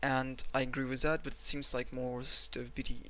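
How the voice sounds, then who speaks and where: A man with a Russian accent walks through market charts at his desk, rambling and self-mocking. His voice is gravelly and bright, recorded on a desk mic. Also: medium pitch, flat delivery, normal speed. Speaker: trading analyst. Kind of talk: screen-recorded chart analysis.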